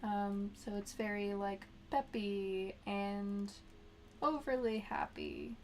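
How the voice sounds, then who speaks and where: A woman artist chatting with viewers on a livestream as she draws, in a soft, flat, monotone voice. She reads viewer comments aloud in a low-key way. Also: takes it slowly, a little hiss or hum. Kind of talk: casual livestream chat while drawing.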